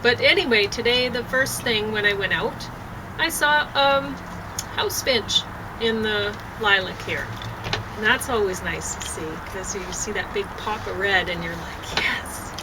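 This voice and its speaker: A woman's voice: medium pitch, measured pace. Chatty tired woman on a noisy deck. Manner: chatty, rambling, with fillers. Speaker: poet and blogger. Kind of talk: casual chat and poem reading. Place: outdoor deck.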